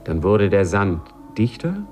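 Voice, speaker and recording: Wry narrator, deep voice, measured delivery, vintage 1950s radio drama, soft music behind. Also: male voice, very animated. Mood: suspenseful, wry. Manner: wry, measured, addresses listeners. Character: wry, knowing male storyteller.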